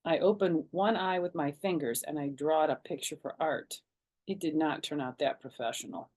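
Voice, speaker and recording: Expressive woman reading a children's story. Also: sits low, normal speed. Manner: animated, dramatic storytelling. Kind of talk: expressive children's book narration.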